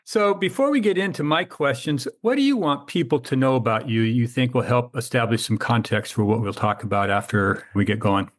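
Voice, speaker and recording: A man hosting a podcast, opening the show and asking his guest questions in a friendly, measured way. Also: mid-range voice, animated. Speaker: podcast host. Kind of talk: podcast interview.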